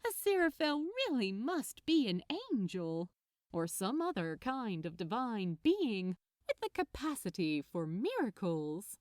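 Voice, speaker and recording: A woman's voice: medium pitch, big pitch swings, measured pace, darkish tone, no echo, studio quality. A woman narrating fiction expressively as an audiobook reader, reading from a script.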